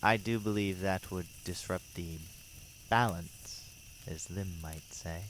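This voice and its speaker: A man's voice: sits low, slow pace, fairly bright tone, a little hiss or hum, poor audio. A man playing a devilish, mocking demon tempter in a fan audio drama, speaking with theatrical mock courtesy and ornate words. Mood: disdainful.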